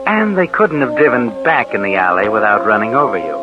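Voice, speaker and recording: A man's voice: lowish voice, very animated, brisk. An actor playing a sinister suspense narrator on 1940s radio, needling and insinuating like a man's guilty conscience. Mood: insinuating, taunting. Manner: insinuating, taunting second-person address. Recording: dull 1940s radio, loud music behind.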